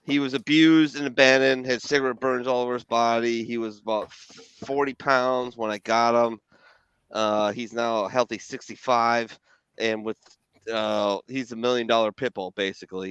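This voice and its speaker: A man talks from his home basement in blunt, salty storytelling. His voice is smooth and clear at a middle pitch. The recording is dry and a bit dull, a lo-fi home setup. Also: fairly bright tone, no echo. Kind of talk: casual chat.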